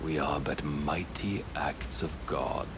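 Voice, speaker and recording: An actor playing a grave, menacing godlike servant in a fantasy game, speaking in solemn, pompous, archaic phrases. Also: male voice, rumbling low, fairly even intonation, slow pace, background noise. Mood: solemn, preaching. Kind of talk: dramatic fantasy game cutscene acting.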